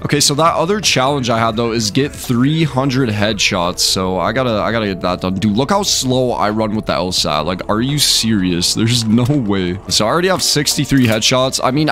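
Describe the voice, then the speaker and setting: A young American man with a slightly hoarse voice, giving casual, slangy gameplay commentary as the host of a gaming video. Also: fairly low pitch, lively intonation, a little fast, few pauses, fairly bright tone. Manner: slangy, rambling, addresses viewers as boys. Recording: game music behind voice. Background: video game music.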